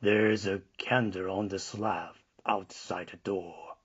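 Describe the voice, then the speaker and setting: A dour, droning old man in a gothic audiobook, acted by a male reader. He speaks very slowly in a low, breathy near-whisper, insistent and foreboding. The recording is slightly dull. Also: fairly even intonation, a bit bright, full character voice. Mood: ominous, grudging. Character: old man with a withered arm, dour, ominous, grudging.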